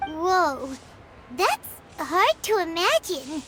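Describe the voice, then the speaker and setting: A woman's voice: very high-pitched, very animated, very slow, heavy background noise, heavily acted. A woman voicing a tiny, childlike fairy companion in a video game, naive, curious and exclamatory. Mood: amazed. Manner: naive, exclamatory, refers to herself by name. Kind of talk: animated video game voice acting. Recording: loud game music behind.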